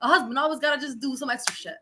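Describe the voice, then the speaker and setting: A woman's voice: high voice, rapid-fire, bright, forceful tone, slight background noise. A woman co-hosting a podcast, reading out headlines and reacting in an emphatic, indignant casual way. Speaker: podcast co-host. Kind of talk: casual news discussion.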